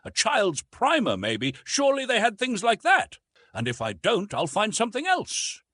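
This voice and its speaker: A man's voice: high-pitched, wildly swooping pitch, moderate pace, bright, forceful tone, husky, dry recording, studio quality, pitched above the performer's own voice, exaggerated voice. A man doing an eager young woman scholar, raised voice. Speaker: archaeologist.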